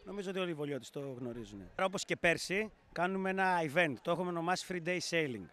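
A man in his thirties, director of a sailing school, being interviewed outdoors and explaining things in a measured way with hesitant fillers. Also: high voice, fairly bright tone. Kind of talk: street interview. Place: outdoor café terrace in a town. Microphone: handheld reporter mic.

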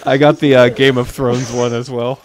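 Male streamer, young, mid-pitched, doing live readings of absurd scripts over faint noise. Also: little pitch movement, moderate pace. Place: dim room at home.

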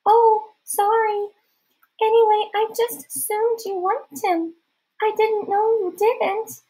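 Woman doing a squeaky fake-girly boy in disguise. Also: fairly even intonation, slow, warm tone, heavily acted, rough recording. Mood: flustered. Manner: affected, sugary, overdone girlish delivery. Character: boy disguised as a girl, faking sweet girlish voice. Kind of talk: audiobook character voice.

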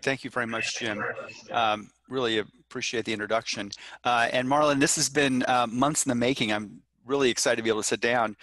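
A middle-aged man hosting an interview over a video call on a headset mic from home, brisk and chatty with many fillers. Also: mid-range voice, fairly bright tone, no room sound. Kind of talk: hosted interview. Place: room at home.

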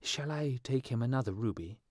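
An eager little swallow with a high, lilting voice, put on by a man reading a storybook. He sounds good-hearted and earnest, and the read is close-miked and dry. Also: normal speed, dry recording, natural voice. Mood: kind.

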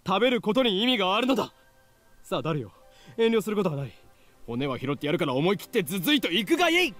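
A pompous young anime mad scientist. His high voice swings dramatically and he talks fast, with theatrical grandeur, over faint music. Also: very animated, a bit bright. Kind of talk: Japanese visual novel voice acting.

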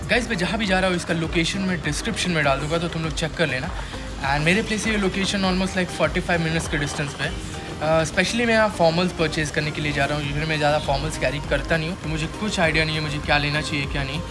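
Casting: young male vlogger, Indian accent, fairly high-pitched, casual bilingual shopping narration over clear background music. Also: fast talker. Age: young adult. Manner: mixes English words and phrases into his speech.

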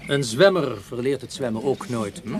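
A man's voice: medium pitch, normal speed, lightly acted. An actor playing a smug, over-polite man who goads and mocks, in a Dutch radio play. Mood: needling. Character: smug, goading, mocking male socialite. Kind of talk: Dutch radio drama acting.

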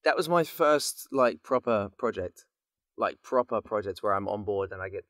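A British man in his late twenties with a bright, sharp voice, recorded very dry. He chats casually as a guest, rambling through jokey anecdotes. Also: fairly low pitch, lively intonation, moderate pace, no echo. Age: late 20s. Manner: joking, rambling storyteller. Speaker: podcast guest, voice actor. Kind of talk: casual chat.

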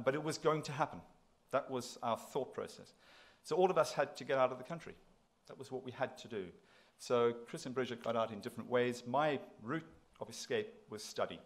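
A middle-aged man speaks to an audience, at a medium pitch. He delivers his address conversationally, leaning on key words and repeating phrases for emphasis. He talks from a podium in a lecture hall. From South Africa. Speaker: institution president giving inaugural address.

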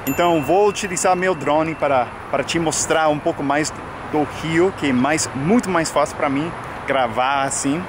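A young American man, a native English speaker, chatting casually to the camera in a sunny park, relaxed with lots of fillers. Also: on the high side, moderate pace. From United States. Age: young adult. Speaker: vlogger and English teacher. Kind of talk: casual outdoor vlog. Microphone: camera mic at arm's length. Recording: outdoor noise from traffic.

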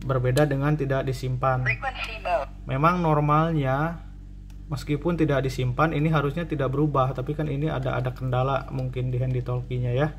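A man's voice: mid-range voice, fairly bright tone, slight background noise. A man giving a casual hands-on tutorial as a repair technician, repeating and rephrasing as he explains. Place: indoor cluttered repair workbench.

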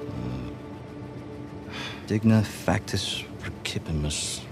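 Very deep, self-assured American man. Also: animated, takes it slowly, over music, exaggerated voice. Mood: curious. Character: smooth rich American schemer, confident, entitled young man. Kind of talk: cinematic game acting.

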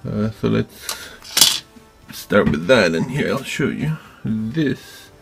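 A man hosting a craft tutorial, talking informally and haltingly as he thinks aloud while working. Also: fairly low pitch, lively intonation, creaky, loud music behind the voice. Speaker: DIY maker and video host. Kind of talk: casual hands-on tutorial narration. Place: indoor craft workbench.

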